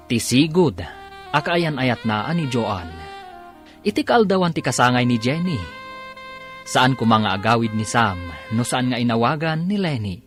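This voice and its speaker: A man's voice: mid-range voice, fairly bright tone. A man narrating and announcing an Ilocano radio drama serial in a scripted, dramatic style, with music behind him.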